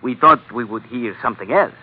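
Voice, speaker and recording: A male actor playing a sly, insinuating gangster of about thirty with a Spanish accent and halting English in a 1940s-style radio drama. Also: medium pitch, breathy edge, lightly acted. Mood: sly, friendly persuasion. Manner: insinuating, chuckling, halting foreign phrasing. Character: sly, chuckling gangster lieutenant, man of thirty-one. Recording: tinny, faintly noisy old radio recording.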